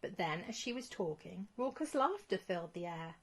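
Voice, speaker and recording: A woman reading a rhyming children's storybook as scripted narration, in an expressive storytelling manner. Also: fairly low pitch, moderate pace, husky.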